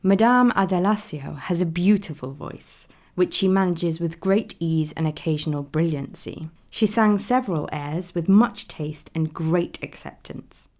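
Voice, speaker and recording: An admiring Victorian newspaper critic reads out a concert review. The voice is low and mellow, the phrasing formal and deliberate, in radio play style. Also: female voice. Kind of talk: dramatised reading of a period newspaper review.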